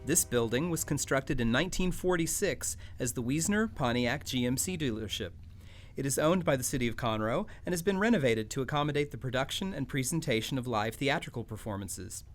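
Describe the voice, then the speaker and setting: Measured man reading a theater promo. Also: medium pitch, expressive. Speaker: narrator and lead actor. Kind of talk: 1940s radio drama acting.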